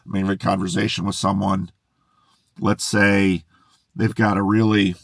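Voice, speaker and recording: Middle-aged male pastor, low gravelly voice, rambling excitable monologue, dry car sound. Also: measured pace, dry recording. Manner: musing, self-interrupting, excitable. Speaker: pastor and video host. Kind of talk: unscripted monologue to camera. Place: inside a parked car in a closed garage. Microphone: camera in the car.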